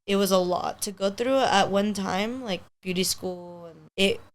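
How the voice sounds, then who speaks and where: Young woman, spanish first language, rambly podcast guest, says "like" a lot, mid pitch. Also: unhurried. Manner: rambling, heavy use of "like", upbeat. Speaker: podcast guest, hair stylist. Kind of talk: casual chat.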